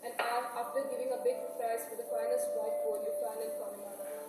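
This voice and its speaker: Woman playing a high-pitched grieving old mother, echoey hall. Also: flat delivery, fairly quick, music in the background, natural voice. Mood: mournful. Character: grieving, pleading old Irish island mother. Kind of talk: stage play acting. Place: stage in a hall, distant microphone. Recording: echoey hall, far mic, noisy.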